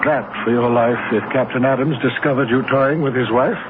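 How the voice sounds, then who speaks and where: An actor playing a genteel, imperious wealthy passenger in a 1970s radio drama, with formal and expressive delivery. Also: male voice, mid-range voice, a little fast, lightly acted. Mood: indignant. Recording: noisy, tinny old radio broadcast.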